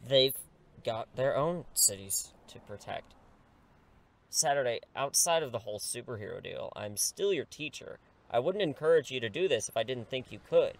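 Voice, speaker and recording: A man's voice: mid-range voice, animated, moderate pace, creaky. An actor in an audio drama playing an earnest, persuasive teacher in his thirties who is secretly a superhero, pleading and wryly self-deprecating. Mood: reassuring, persuasive. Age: 30s.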